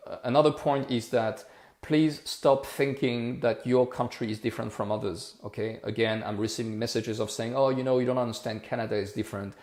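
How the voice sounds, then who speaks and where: A man's voice: medium pitch, steady tone, fairly quick, darkish tone. Impassioned man, echoey room. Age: 40s. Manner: impassioned, repetitive, rhetorical. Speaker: livestream host. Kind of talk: casual livestream talk.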